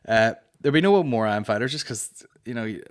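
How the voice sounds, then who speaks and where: A man's voice: mid-range voice, animated, fast talker, clean tone. A man in his early thirties with a Northern Irish accent, hosting a comedy podcast with blunt, sweary casual chat into a close studio mic. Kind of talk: casual comic chat, answering listener questions. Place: small indoor podcast studio. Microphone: close studio microphone on boom arm.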